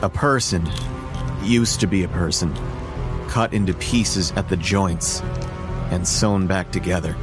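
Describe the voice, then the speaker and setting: A man's voice: sits low, steady tone, measured pace, long pauses. A man reading a scripted first-person horror story as its narrator. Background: music.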